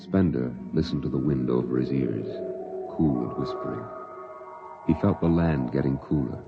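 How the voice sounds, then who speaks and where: A man acting as the solemn, poetic narrator of a 1950s radio science fiction drama, measured and atmospheric. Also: very deep voice, gravelly. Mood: solemn, lyrical. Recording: dull 1950s radio recording. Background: dramatic music.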